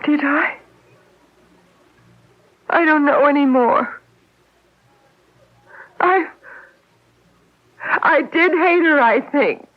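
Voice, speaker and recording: Distraught jealous wife, high voice, halting anguished delivery, 1970s radio drama, dull lo-fi sound. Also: female voice. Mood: confused, halting. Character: distraught jealous wife, accused of murder, defensive and pleading.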